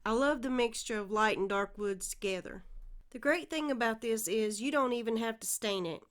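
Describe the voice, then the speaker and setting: A woman's voice: on the high side, normal speed. Southern woman narrating a DIY project. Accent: Southern American. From Southern United States. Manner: friendly, step-by-step, plainspoken. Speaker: DIY channel host. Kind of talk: instructional voice-over narration.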